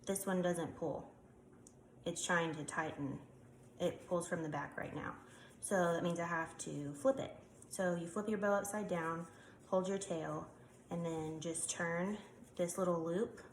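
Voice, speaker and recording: A woman's voice: on the low side, steady tone, unhurried. Congested woman explaining a craft. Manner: chatty, explains while working with hands, frequent ums. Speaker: craft tutorial maker. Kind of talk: casual step-by-step demonstration. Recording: echoey room, faint background noise.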